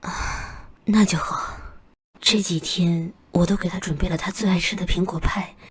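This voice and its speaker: A woman voicing a timid, sorrowful ghostly mother in an animated drama, with a small, breathy voice. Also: on the low side, normal speed, a little hiss or hum, natural voice. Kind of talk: Chinese animated drama voice acting.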